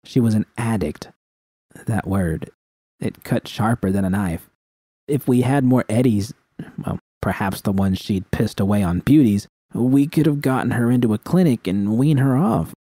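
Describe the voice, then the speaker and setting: Mellow male narrator in a dry recording. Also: on the low side, normal speed, dry recording. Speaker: narrator reading fan fiction. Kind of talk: first-person dramatic story narration.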